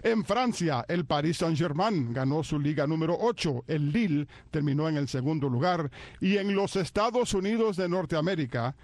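Man, radio sports host, announcer-like, higher voice, dry studio sound. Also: animated, moderate pace, darkish tone, no room sound. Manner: announcer-like, rattles off results. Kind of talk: sports recap on a radio talk show.

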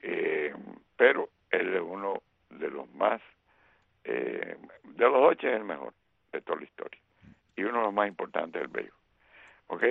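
A middle-aged or older man doing animated baseball commentary on radio. He often fills with "eh" and "¿ok?". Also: deep voice, moderate pace, vocal fry, pauses often, husky, no echo. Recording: tinny phone-line radio sound.

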